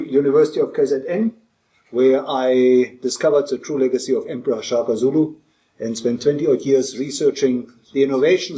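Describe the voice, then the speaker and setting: A man's voice: a little high, mellow. German-accented man presenting in an echoey room. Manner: conversational, with frequent fillers and restarts. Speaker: speaker. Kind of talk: presentation to an audience.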